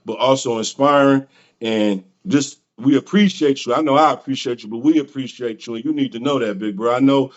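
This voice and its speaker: A man's voice: medium pitch, fairly quick, lo-fi sound. A man hosting a casual interview, talking in respectful slang and often adding "you know what I'm saying.". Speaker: interviewer, host.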